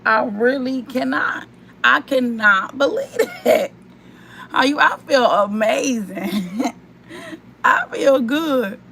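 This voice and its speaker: A young woman vlogger whose voice is hoarse and nearly gone, pitched a little high for a woman. She chats casually and rambles, talking straight to her viewers. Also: animated, slow, long pauses, bright, forceful tone, slight background noise. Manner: chatty and rambling, addresses viewers directly.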